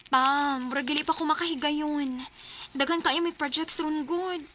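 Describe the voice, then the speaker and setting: A young Cebuano woman in a Bisaya radio drama. Her voice is high-pitched and bright. She comes across as a devoted, needy girlfriend and daughter, speaking in everyday student talk. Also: steady tone, moderate pace, slight background noise. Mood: chatty, affectionate. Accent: Cebuano Filipino. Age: young adult.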